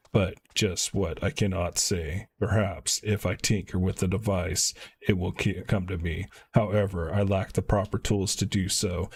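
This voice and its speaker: A man's voice: deep voice, darkish tone, slightly rough, full character voice. A man voicing a shy, polite tinkerer from a fantasy game, formal and a little hesitant.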